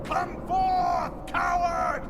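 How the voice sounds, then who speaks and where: A man playing a furious warrior commander in a video game cinematic, declaiming drawn-out battle challenges. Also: very high-pitched, huge pitch swings, a bit bright, exaggerated voice. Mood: enraged, defiant. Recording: noisy game cinematic with crowd roar.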